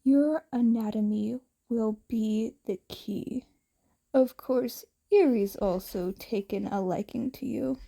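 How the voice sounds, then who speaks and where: Woman as a slow, coldly calculating male villain. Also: a little high, gravelly, dark tone, exaggerated voice. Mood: cold, thoughtful.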